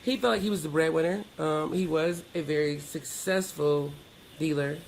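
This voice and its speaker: A woman's voice: low-pitched, normal speed, gravelly, a little mellow, a little hiss or hum, rough recording. A middle-aged American woman telling personal stories candidly as an interview guest, with emphatic, colloquial delivery. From Georgia, United States.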